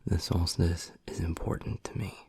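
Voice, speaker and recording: A man playing a tender, gruff young werewolf boyfriend in an intimate audio roleplay, speaking in a hushed, husky, earnest way close to the mic. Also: steady tone, in a whisper, full character voice. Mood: tender, reassuring. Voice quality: low, gravelly, husky, breathy. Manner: hushed, slow, earnest, halting. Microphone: close, dry microphone.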